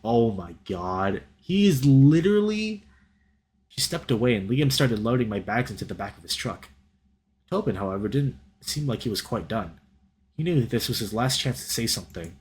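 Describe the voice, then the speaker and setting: A man reading a visual novel aloud as a let's play host, in an expressive, dramatic storytelling style with casual reactions. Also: medium pitch, moderate pace. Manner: animated, dramatic reader.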